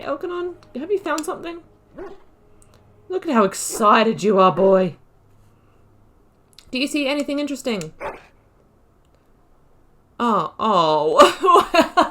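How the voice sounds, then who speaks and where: A woman's voice: on the high side, fairly bright tone. A woman giving casual, reflective, rambling commentary as she hosts a video game playthrough. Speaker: gaming video host.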